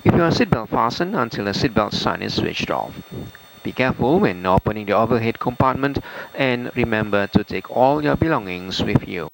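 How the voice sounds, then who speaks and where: A man with a Malaysian accent reading formal cabin crew announcements in a halting way. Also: medium pitch, animated, creaky. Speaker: airline cabin crew member. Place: aircraft cabin, over the PA. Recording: faintly noisy aircraft cabin announcement audio.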